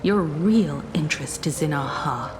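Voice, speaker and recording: Solemn accusing heroine, slightly low voice, unhurried and grave, video game drama, hall-like reverb. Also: female voice, animated, music in the background. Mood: cold, accusing. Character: solemn, defiant woman confronting a manipulator.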